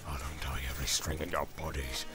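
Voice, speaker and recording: A man's voice: extremely low pitch, steady tone, quick pace, music in the background, pitched below the performer's own voice. A man voicing a menacing, sly orc captor who hisses his sneering threats in an audiobook fantasy tale. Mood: furious. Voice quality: hissing, whispery. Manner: sneering, mock-affectionate threats.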